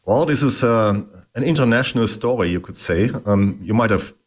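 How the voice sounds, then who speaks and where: A middle-aged man with a German accent, answering interview questions in a thoughtful way with many pauses and fillers. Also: fairly low pitch, expressive. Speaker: guest, engineering executive.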